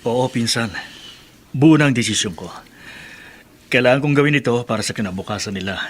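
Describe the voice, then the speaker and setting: A man's voice: mid-range voice, fairly quick, natural voice. An actor playing a devoted, determined father in a radio drama, speaking earnestly and pleadingly. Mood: resolute.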